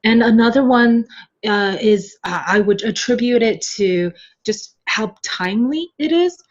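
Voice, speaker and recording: A woman guest explaining her views in a casual discussion, pausing to find her words. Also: a little high, animated. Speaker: podcast guest. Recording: slightly dull, lo-fi audio.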